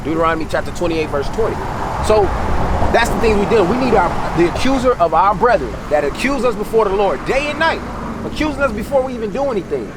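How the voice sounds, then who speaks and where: A man's voice: high-pitched, expressive, fast talker. An American man preaching in an impromptu, colloquial street sermon, exhorting and repeating phrases for emphasis. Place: outdoors on a city street. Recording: loud outdoor traffic and street noise.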